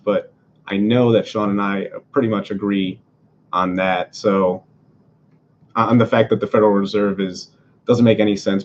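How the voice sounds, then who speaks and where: A man's voice: fairly low pitch, a little mellow, muffled recording, slight background noise. A man from Venezuela talking casually about politics as a podcast host, rambling through many fillers and restarts in his apartment.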